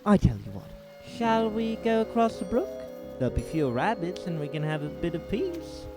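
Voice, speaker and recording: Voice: mid-range voice, very animated, normal speed. A performer voicing a sensible, protective young male rabbit, earnest and reassuring, in a comic dub. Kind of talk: animated comic dub of a novel. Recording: music behind the voice. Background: music.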